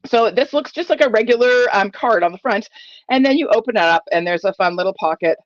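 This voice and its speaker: A chatty, enthusiastic middle-aged woman walks viewers through a craft project, full of fillers and asides. Her voice is a little croaky from a cold. The sound is dry and a bit dull, as if from a modest home setup. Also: on the high side, lively intonation, fairly quick, no echo. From Ontario, Canada. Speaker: papercraft demonstrator. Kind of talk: live crafting tutorial.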